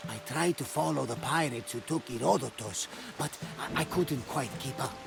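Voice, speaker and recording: An actor playing a jovial, superstitious older sea captain in a video game, exuberant and theatrical. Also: male voice, medium pitch, normal speed, vocal fry. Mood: sheepish, amused. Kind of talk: video game cutscene acting. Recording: loud music behind the voice.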